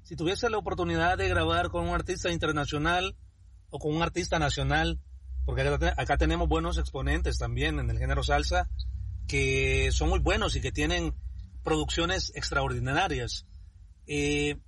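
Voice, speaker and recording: Higher-voiced male host, halting questions. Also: a bit bright, a little hiss or hum. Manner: hesitant, repeats and rephrases words. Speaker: interview host. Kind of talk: interview questions.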